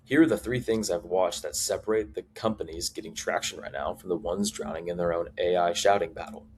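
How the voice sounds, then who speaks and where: Man, lowish voice, emphatic and deliberate, scripted explainer, faint ambient noise. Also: a little mellow. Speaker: marketing advisor presenting to camera.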